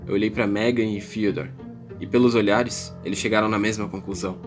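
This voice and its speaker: A man's voice: fairly low pitch, steady tone, fairly quick, soft background music, natural voice. A man with a Brazilian accent playing an anxious yet eager young man narrating his adventure in a dramatized audiobook. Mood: reflective.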